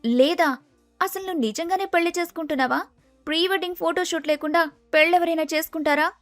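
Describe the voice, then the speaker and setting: A woman voicing an excitable, vain young bride-to-be in storybook dialogue, pushy, impatient and insistent. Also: extremely high pitch, quick pace, no room sound, soft background music, voice pushed up. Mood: exasperated.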